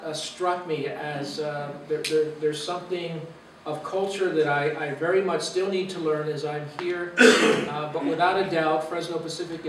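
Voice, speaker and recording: A man in his fifties from Los Angeles, giving an earnest, reflective talk as a guest speaker with frequent "uh" pauses. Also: fairly high pitch, noisy background. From Los Angeles, USA. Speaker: guest speaker, university president. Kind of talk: personal talk to a church gathering. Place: meeting room or church hall. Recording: echoey room, mic far away.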